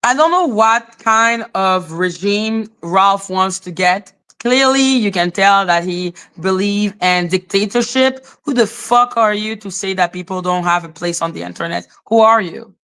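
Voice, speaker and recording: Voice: mid-range voice, measured pace, hardly any pauses, sharp tone, smooth. A live-stream host ranting in casual commentary, indignant and profane, with sarcastic mocking questions.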